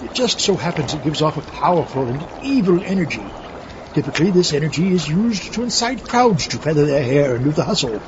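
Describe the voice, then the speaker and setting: An actor playing a callous, conniving mad inventor in a scripted comic audio skit, matter-of-fact and sarcastic. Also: male voice, a little high, very animated, a little fast, muffled recording, background noise.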